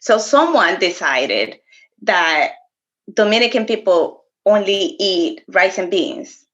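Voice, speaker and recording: Animated woman chatting, slightly muffled. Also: mid-range voice, takes it slowly. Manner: animated, laughs often, code-switches briefly. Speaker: podcast co-host. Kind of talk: casual conversation.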